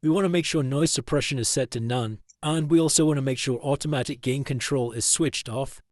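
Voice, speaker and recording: A man narrating a step-by-step software tutorial in a plain, instructional way, close to a desktop microphone. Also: mid-range voice, fairly quick, no echo. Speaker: tutorial host.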